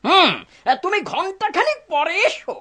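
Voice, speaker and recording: A woman's voice: very high-pitched, big pitch swings, moderate pace, pushed and bright, exaggerated voice. A woman playing a wily, money-minded old healer in a cartoon folk tale, with an exaggerated delivery. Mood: grudging, shrewd. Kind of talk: cartoon dub acting.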